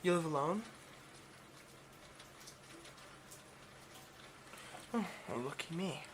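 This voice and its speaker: A man's voice: on the high side, fast, noisy background, natural voice. A man playing a nervous, obsessive vampire lab technician in an intimate ASMR roleplay, with hesitant, stammering, overly polite delivery. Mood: polite, awkward.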